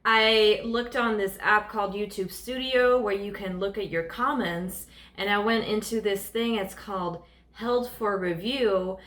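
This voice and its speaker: A woman's voice: mid-range voice, unhurried, a bit bright. A young Dutch woman with a light Dutch accent, hosting a chatty, giggly Q&A vlog with her partner. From Netherlands. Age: 20s. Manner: giggly, laughs often, chatty. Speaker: vlogger. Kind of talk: casual Q&A chat.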